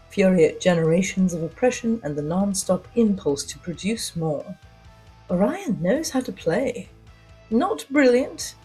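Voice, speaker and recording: A woman reading scripted first-person fiction as a narrator, in a deliberate, dramatic storytelling manner. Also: mid-range voice, mellow, husky, poor audio.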